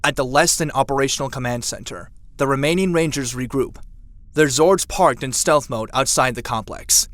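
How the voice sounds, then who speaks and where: A man's voice: mid-range voice, normal speed, a bit bright, close to an everyday voice. A man narrating an audio drama in a scripted, dramatic storytelling style.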